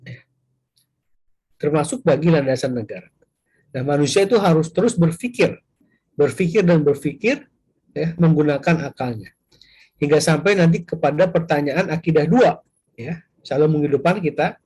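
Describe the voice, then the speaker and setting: Husky man with a cold teaching. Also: a little high, a little mellow. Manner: frequent filler "ya", rhetorical questions. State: has a cold. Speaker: Islamic teacher. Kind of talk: religious study lecture.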